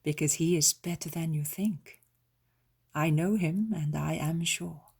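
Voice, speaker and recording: A woman voicing a gentle, solemn male teacher who speaks calmly and slowly. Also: low-pitched, creaky, husky, exaggerated voice. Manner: calm, slow, solemn.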